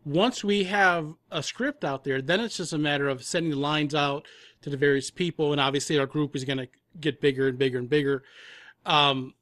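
A man's voice: on the high side, steady tone, a little fast, fairly bright tone, dry recording, studio quality. A man giving conversational interview answers as a guest producer, explaining things at length in rambling detail. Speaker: audio drama producer and composer, guest.

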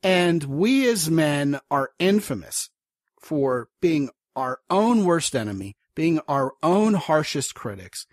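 A man hosts a podcast interview, his voice husky and breathy, recorded dry and close with no room sound. He talks in long, wordy runs and stays animated as he sets up his questions. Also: fairly high pitch, slow pace, no echo. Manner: wordy, animated, drifts into acting out quotes. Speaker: podcast host. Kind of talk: conversational interview.

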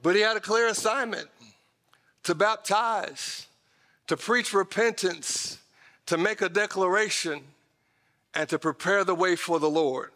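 Bright-voiced American preacher man in a hall. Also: high-pitched, a little slow, pauses often. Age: middle-aged. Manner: emphatic, conversational, addresses listeners directly with folksy asides. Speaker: pastor. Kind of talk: sermon to a congregation. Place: large church auditorium stage, indoors. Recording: reverberant hall sound from stage.

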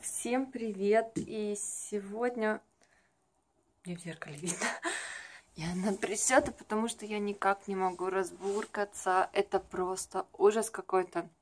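A woman's voice: medium pitch, unhurried, a little hiss or hum. A young adult woman talks in a casual, confiding vlog style, rambling through everyday stories to a camera at arm's length in a room at home. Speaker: vlogger, makeup artist. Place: small furnished room at home.

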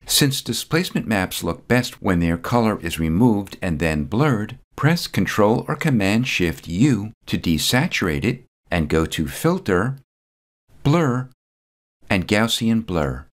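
A man reading a scripted step-by-step software tutorial voice-over, calm and methodical. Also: on the low side, takes it slowly.

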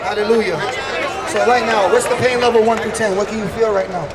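A man in his thirties preaching and praying forcefully in a revival style, with repeated exhorting calls. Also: high voice, steady tone, fast, far from the mic. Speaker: street preacher. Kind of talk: fervent revival preaching and prayer. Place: large busy indoor shopping mall. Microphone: handheld microphone. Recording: handheld mic in loud crowded public hall. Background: loud crowd.

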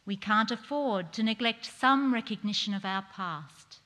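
Middle-aged actress as 1940s female politician, stately and measured, formal stage oratory, mid-pitched, echoing theatre. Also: bright, forceful tone. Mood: earnest, stirring. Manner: measured, deliberate, declamatory. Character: dignified 1940s woman politician addressing parliament. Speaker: performer reading a historic speech on stage. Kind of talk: theatrical formal oratory, recited parliamentary speech. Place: large theatre stage, indoors. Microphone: stage microphone on a stand. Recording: echoey hall with long reverb.

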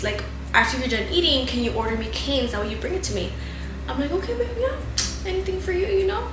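A woman's voice: a little high, roomy sound, low-quality recording. A young woman in her twenties chatting casually to her camera at home, venting and swearing a lot. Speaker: lifestyle vlogger. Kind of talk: casual storytime vlog. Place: bright living room and kitchen at home.

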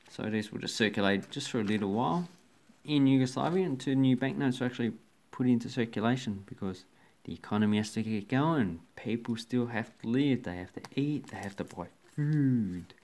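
A man's voice: lowish voice, darkish tone. A man casually talking through banknotes from his collection, rambling with fillers and restarts. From Australia. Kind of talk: casual show-and-tell commentary.

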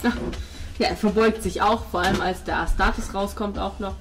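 A woman's voice: medium pitch, fairly even intonation, moderate pace, over music. A woman narrating a tabletop role-playing game as game master, descriptive and informal.

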